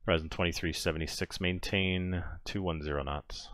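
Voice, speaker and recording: A man's voice: low-pitched, steady tone, a little fast, a bit bright. A man speaking as an air traffic controller, giving clipped, procedural instructions in radio phraseology. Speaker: player acting as departure air traffic controller.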